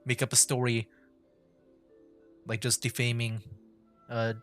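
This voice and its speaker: A man livestreaming a game with casual, informal commentary, thinking aloud in a halting way. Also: mid-range voice, completely flat delivery, a bit bright. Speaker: streamer. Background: game music.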